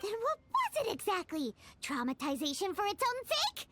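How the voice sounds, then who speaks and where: A woman voicing a tiny floating female companion creature with a high, squeaky, childlike voice and blunt, exasperated quips, in game audio. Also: wildly swooping pitch, moderate pace, a bit bright, heavily acted. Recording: game audio with background music.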